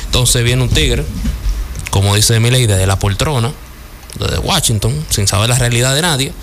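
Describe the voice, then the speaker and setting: A man's voice: medium pitch, normal speed, a bit bright, slight background noise. A man with a Dominican accent hosting a casual talk radio show, blunt and heated, full of street slang.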